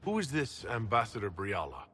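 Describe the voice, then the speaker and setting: A low, animated male voice over music. He plays a fantasy army leader. He is blunt, inquisitive and direct in his delivery. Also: normal speed, exaggerated voice. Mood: wry, direct. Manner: direct, questioning. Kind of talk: dramatic game cutscene dialogue.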